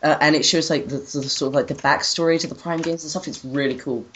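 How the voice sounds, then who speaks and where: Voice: mid-range voice, steady tone, quick pace, fairly bright tone, muffled recording, slight background noise. A young adult co-host chatting casually and off the cuff about things, hesitant and rambling, often repeating words. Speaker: co-host of a gaming retrospective. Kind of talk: casual unscripted chat.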